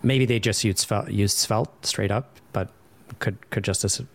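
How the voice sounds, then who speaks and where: A laid-back man from Sweden, a guest on a podcast, talking through details in an easygoing way. His voice sits low and has a gravelly edge, and he carries no trace of a Swedish accent. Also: fairly even intonation, a little slow. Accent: none, sounds American rather than Swedish. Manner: easygoing, explains at length. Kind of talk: casual podcast chat.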